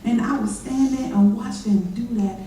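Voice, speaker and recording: A woman's voice: fairly high pitch, moderate pace, mellow, close to an everyday voice. A woman performing a haunted formerly enslaved eyewitness in a folksy readers theater monologue. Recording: echoey chapel from far off, noisy.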